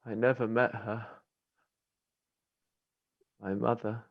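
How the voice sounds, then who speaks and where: Man playing a dying lad, gravelly and halting. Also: on the low side, little pitch movement, dull audio. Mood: weak, fading. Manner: faint, broken, halting phrases with coughs. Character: dying young lad, weak and defiant. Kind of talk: dramatized stage play reading.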